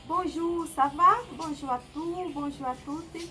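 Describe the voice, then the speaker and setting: A woman's voice: high voice, unhurried, sharp tone, slight background noise. A Brazilian woman in her fifties, a teacher, introducing herself in French with a Portuguese accent, cheerful and light. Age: 50s. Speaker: teacher, school principal. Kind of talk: self-introduction.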